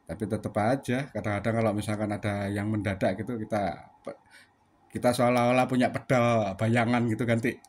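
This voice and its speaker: A man's voice: fairly low pitch, steady tone, fairly quick, noisy background. Chatty man on a ham radio chat. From Surabaya, Indonesia. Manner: chatty, many fillers, ends turns with "ganti". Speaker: ham radio operator and channel host.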